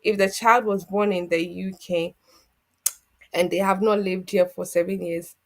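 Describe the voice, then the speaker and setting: A woman with a slightly low voice talks through legal advice in a steady, methodical way. She sounds like a solicitor guiding viewers through a process. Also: unhurried. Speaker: immigration solicitor guest. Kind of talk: explaining legal advice on a community TV show.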